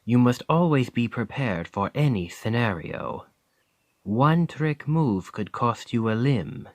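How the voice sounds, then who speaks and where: A wry veteran knight bodyguard. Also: male voice, medium pitch, expressive, a little mellow, a little hiss or hum. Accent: French. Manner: wry, testing, measured. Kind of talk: ASMR roleplay acting.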